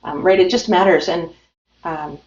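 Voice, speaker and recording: Woman, business guest, promotional and upbeat, low-ish voice, muffled lo-fi sound. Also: steady tone, moderate pace, darkish tone, a little hiss or hum. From United States. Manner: upbeat, promotional, with frequent fillers. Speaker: industry guest from a printing company. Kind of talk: remote business interview. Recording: roomy, dull audio with faint music.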